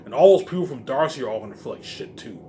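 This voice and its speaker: A man's voice: mid-range voice, expressive, fast talker. A man rambling casually to viewers in an emphatic, repetitive, profane way, leaning on the same verbal tags. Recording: faint laundry-machine background noise.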